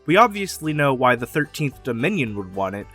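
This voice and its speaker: A young man hosting his own channel, rambling enthusiastically through fan theories in casual solo talk, laughing now and then and getting a little hoarse. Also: mid-range voice, big pitch swings, fairly quick, pushed and bright, soft background music. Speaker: YouTube theory channel host.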